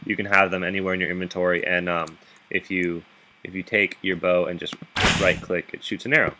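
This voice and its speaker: A man with a very deep, low voice talks through his game in a loose, rambling way, full of fillers and little chuckles. His tone carries a bright, pushed edge. He speaks into a laptop microphone while sitting up in bed, with a faint hiss behind him. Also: fairly even intonation, fairly quick. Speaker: gaming video host. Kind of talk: casual gameplay commentary.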